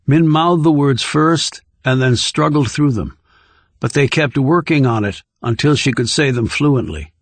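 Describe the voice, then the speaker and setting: A man's voice: medium pitch, a little slow, no room sound. Male thriller audiobook narrator, close and dry.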